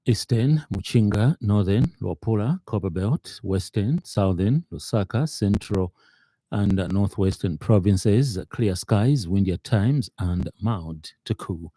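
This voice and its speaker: A man's voice: on the low side, expressive, slow, mellow, dry recording. A man with a Zambian accent presenting a scripted radio news bulletin from a studio.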